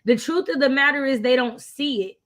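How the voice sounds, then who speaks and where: A woman's voice: high voice, brisk, a bit bright. A woman in a casual book discussion, speaking in an opinionated, emphatic way and repeating points for emphasis. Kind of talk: casual live discussion of classic books.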